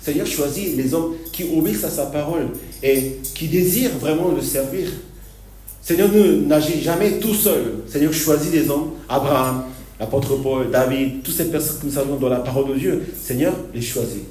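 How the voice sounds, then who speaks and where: Male pastor, stop-start delivery with frequent laughs, mellow voice; distant mic in an echoey church hall. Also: a little high. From Argentina. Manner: halting, repeats words, laughs often. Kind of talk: sermon.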